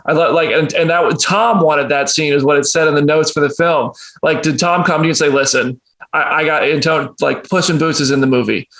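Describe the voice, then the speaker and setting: A man with a slightly high voice hosts a casual entertainment interview. He is enthusiastic and conversational, letting his questions ramble. The room adds a clear echo. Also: a little fast, a bit bright. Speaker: interviewer, entertainment show host. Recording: roomy, echoey sound.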